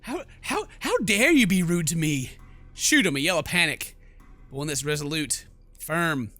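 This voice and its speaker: Bright, high-pitched animated man commentating. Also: moderate pace, no room sound, faint music behind. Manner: jokey, exclaiming, mimicking the characters. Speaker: gaming YouTuber, let's play host. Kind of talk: excitable let's play commentary.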